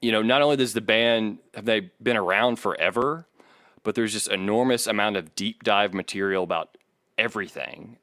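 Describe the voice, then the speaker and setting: A man with a fairly low voice, recorded close and dry. He hosts a casual podcast, laying out background in a chatty, explanatory way with a wry edge. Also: normal speed, fairly bright tone, no echo. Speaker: podcast host.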